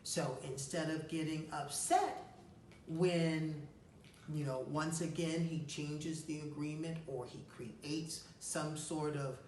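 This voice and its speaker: Low, slow woman coach in echoey room. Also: steady tone, darkish tone. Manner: deliberate, emphatic, coaching. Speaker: host of an advice series, life coach. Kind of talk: advice talk answering a listener's question. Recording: roomy echo, distant mic, faint noise.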